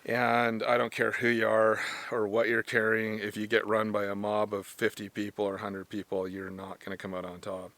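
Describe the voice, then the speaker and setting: Man, hoarse from smoke, low-pitched, casual rambling sales talk, self-correcting. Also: completely flat delivery, normal speed, hardly any pauses, fairly bright tone, no room sound. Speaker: firearms gear seller and trainer. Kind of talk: casual product talk to camera.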